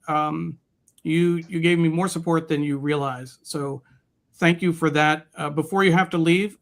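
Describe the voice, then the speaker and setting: Higher-pitched man co-hosting a livestream. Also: a bit bright. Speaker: co-host of a livestream show. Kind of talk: casual livestream talk.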